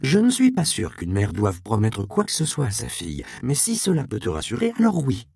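Male narrator doing a mother figure, soothing, firm, softly spoken. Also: medium pitch, wildly swooping pitch, brisk, vocal fry, dry recording, studio quality, voice pushed up, heavily acted. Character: middle-aged mother, tender but firm cloth merchant.

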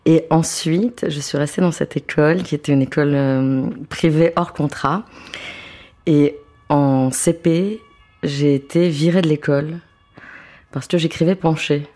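A woman in her fifties, a translator and author, talking in a relaxed, thoughtful way with many small restarts, seated at home. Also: low voice, unhurried. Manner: hesitant, thoughtful, repeats words when starting. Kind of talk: relaxed conversational interview. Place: apartment living room, seated on a sofa.

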